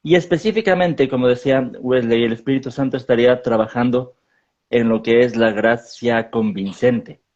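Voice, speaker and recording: A man, a pastor, explaining theology in a measured way in a panel discussion, often repeating words as he thinks. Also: medium pitch, darkish tone, dull audio.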